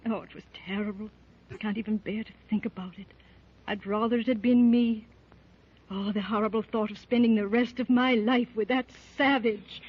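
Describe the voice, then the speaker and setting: An actress playing a frightened but wry young woman held captive in a 1930s radio serial, with a breathy voice. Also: a little high, fairly even intonation, normal speed. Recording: dull old radio recording.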